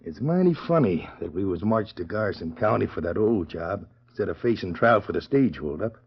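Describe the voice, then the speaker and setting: An actor playing a tough, vengeful outlaw gang leader in a 1940s radio western, with rough, drawling frontier talk. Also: male voice, low voice, lively intonation, normal speed, a little hiss or hum. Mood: suspicious, scheming. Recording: dull, muffled old 1940s radio recording.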